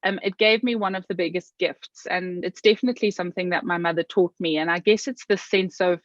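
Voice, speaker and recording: A South African woman talking as an interview guest, earnest and reflective, often pausing with "um" and restarting her sentences. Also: lowish voice, steady tone, no room sound, muffled recording. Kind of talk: interview conversation.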